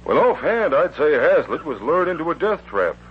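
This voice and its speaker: An actor playing a cautious, practical middle-aged sheriff in a radio western, plain-spoken and folksy. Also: male voice, on the high side, big pitch swings, moderate pace. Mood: skeptical, cooperative. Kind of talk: 1950s radio western acting. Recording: hissy, dull 1950s radio recording.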